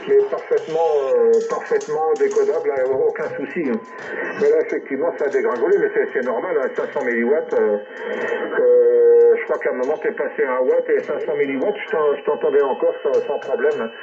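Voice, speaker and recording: A man chatting casually as an amateur radio operator, speaking haltingly and tagging his phrases with a short questioning word. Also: medium pitch. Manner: halting, often ends phrases with "hein". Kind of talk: casual radio contact chat. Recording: noisy narrow-band radio transmission.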